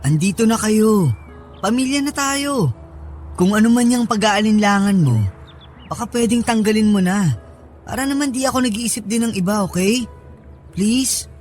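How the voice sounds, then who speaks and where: A man's voice: sits high, normal speed, long pauses, a little mellow, soft background music, natural voice. A man playing an affectionate young husband in a radio drama, talking in a casual, coaxing, reassuring way. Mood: worried, pleading.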